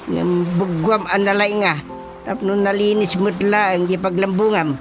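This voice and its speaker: An actor playing a village chairman and folk healer giving ritual instructions in a radio drama, deliberate, with drawn-out hums and an Ilocano accent. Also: high voice, little pitch movement. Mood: instructing. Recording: faint music behind the voice.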